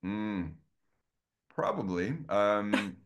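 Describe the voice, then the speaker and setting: A man's voice: on the low side, lively intonation, extremely slow. A man from Estonia, a guest coach, talking earnestly and effusively in a casual interview over a video call. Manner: earnest, effusive, many restarts.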